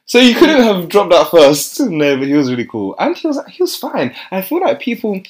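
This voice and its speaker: A young man, chatting casually as a podcast co-host and telling stories with lively quoted voices. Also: high voice, normal speed. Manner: chatty storytelling, animated when quoting others. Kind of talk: casual chat.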